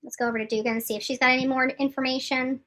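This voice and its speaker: A woman giving live, opinionated legal commentary, emphatic and halting, repeating phrases for stress and often restarting her sentences. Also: sits high, level tone, a bit bright. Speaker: streamer commenting on court news.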